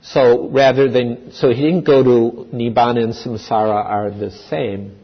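A man giving an unscripted dharma talk at a meditation center, speaking slowly and thoughtfully, pausing and restarting as he works through ideas. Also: lowish voice, slightly rough. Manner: slow, thoughtful, with restarts and pauses. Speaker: dharma teacher. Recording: dull lo-fi recording with long hall reverb.

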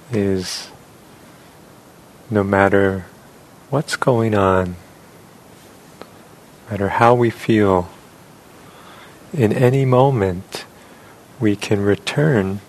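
Slow, low-voiced man guiding meditation. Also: rough recording. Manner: gentle, unhurried, with long pauses. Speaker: meditation teacher. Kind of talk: guided meditation.

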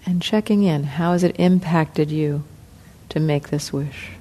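Woman meditation teacher, deep warm voice, very slow gentle delivery. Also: slight background noise. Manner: slow, soft, calm, with long pauses. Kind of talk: guided meditation.

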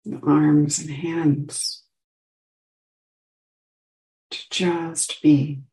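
Slow, mellow woman guiding meditation. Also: low voice, level tone, rough recording. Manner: soft, unhurried instruction with long pauses. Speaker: meditation teacher. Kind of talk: guided meditation.

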